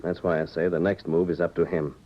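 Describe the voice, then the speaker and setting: An actor playing a resolute masked cowboy hero in a 1940s radio drama, firm and authoritative in delivery. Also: male voice, low voice, little pitch movement, brisk, slight background noise, natural voice. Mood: grave, determined. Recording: muffled old 1940s radio recording.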